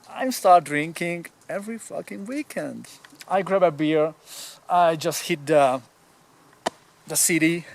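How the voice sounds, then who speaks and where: A young Hungarian man with a Hungarian accent, chatting casually in English for a vlog outdoors in the cold, laid-back and swearing freely. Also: fairly high pitch.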